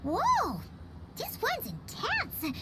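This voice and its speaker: A woman's voice: very high-pitched, huge pitch swings, very slow, exaggerated voice. A woman voicing a tiny, cheerful, childlike floating companion girl in a video game, chirpy and fond of exclaiming. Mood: delighted. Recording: loud music under the voice.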